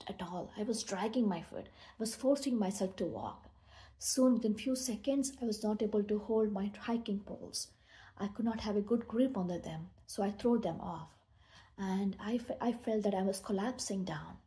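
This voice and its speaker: A woman with an Indian accent gives a personal storytelling talk as a meditation speaker, earnest and halting, often repeating words. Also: mid-range voice, dark tone.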